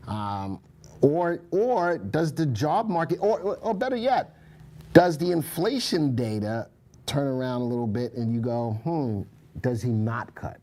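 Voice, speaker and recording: A man's voice: medium pitch, sing-song, measured pace, warm tone. A man co-hosting a live stock market show, chatting casually and joking as he reads the viewer chat, on a muffled mic.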